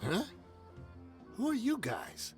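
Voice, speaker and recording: An actor playing a shifty, nervous crooked soldier in a video game, with a jittery, wheedling manner. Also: male voice, sits high, huge pitch swings, slow pace, heavily acted. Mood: wary, hushed. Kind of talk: English video game voice acting. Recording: game music behind the voice.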